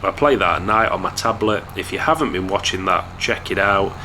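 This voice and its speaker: A young man from England with a hoarse voice from a cold, chatting casually to viewers while commentating a game. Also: low-pitched, a little fast, slight background noise. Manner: chatty, relaxed, talks straight to viewers. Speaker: gaming YouTuber.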